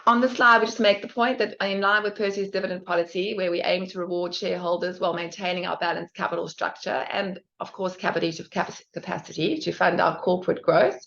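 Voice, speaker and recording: Finance exec lady reading results on a call, low voice, lots of fry, formal but stumbles a bit, muffled audio. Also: flat delivery, fast, few pauses, a bit bright. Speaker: finance executive presenting results. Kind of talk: formal scripted financial presentation. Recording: dull, band-limited conference call audio.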